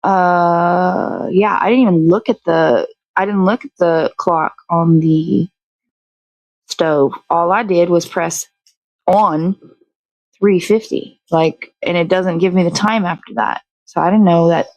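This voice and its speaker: A woman's voice: lowish voice, fairly even intonation, slow pace, no echo, highs cut off. A woman hosting a morning show in casual chat, talking in a blunt, sweary, rambling way.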